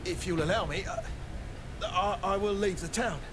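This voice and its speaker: An actor playing a frightened foreign spy in a video game, pleading with a hesitant stammer. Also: male voice, high voice, moderate pace, noisy background, natural voice. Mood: nervous, explaining. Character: frightened foreign male spy caught plotting, nervous and pleading.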